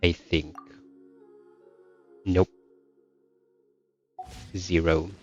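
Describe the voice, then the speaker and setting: A man's voice: low-pitched, little pitch movement, extremely slow, darkish tone. A young man chatting casually as he streams a video game. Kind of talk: casual gaming livestream commentary. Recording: dull mic, game music behind. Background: faint game music.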